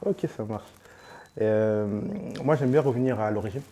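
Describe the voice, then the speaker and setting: A man's voice: fairly low pitch, normal speed, warm tone. A man hosting a casual interview, asking questions in an informal, slangy way with many fillers. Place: on location in a sports venue.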